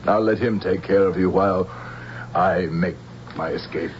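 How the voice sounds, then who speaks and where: Low-voiced, menacing general played by a man. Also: fairly even intonation, moderate pace, lightly acted. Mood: smug, menacing. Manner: threatening, theatrical. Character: menacing military general, jealous, ruthless armed villain. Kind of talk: old-time radio drama acting. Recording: dull, faintly hissy old radio recording.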